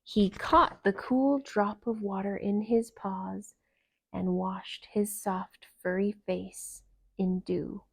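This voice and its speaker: A woman reading a children's story aloud in a calm, expressive and gentle narration. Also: mid-range voice, takes it slowly, vocal fry, breathy edge, poor audio. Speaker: storybook reader.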